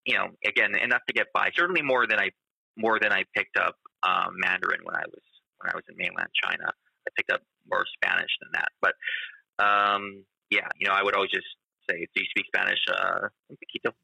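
A male teacher talking in a casual conversation, halting and full of fillers. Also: deep voice, steady tone, gravelly, bright, forceful tone, breathy edge, no echo, low-quality recording. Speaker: teacher and guest.

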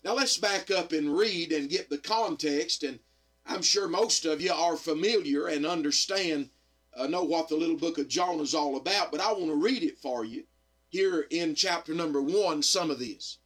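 A middle-aged man, slightly hoarse, preaching earnestly on radio with frequent amens and pauses. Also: a little high, fairly bright tone. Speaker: radio evangelist and pastor. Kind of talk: radio sermon.

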